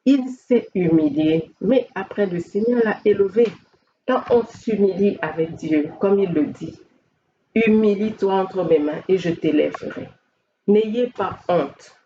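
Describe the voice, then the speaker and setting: A woman's voice: medium pitch, measured pace, warm tone, muffled recording, a little hiss or hum. A woman preacher with a French-speaking Central African accent, delivering an earnest sermon full of rhetorical questions and calls to repent.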